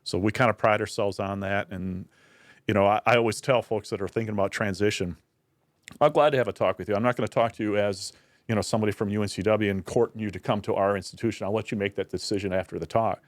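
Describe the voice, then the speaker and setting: A man's voice: on the low side, fairly even intonation, quick pace, no room sound, clean studio sound. A man speaking as a guest on a podcast, explaining things earnestly with frequent restarts. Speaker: university director of military affairs, guest. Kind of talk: casual podcast conversation.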